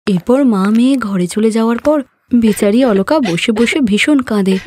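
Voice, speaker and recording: A woman's voice: mid-range voice, lively intonation, normal speed, warm tone, no room sound. A woman narrating a scripted story as its storyteller.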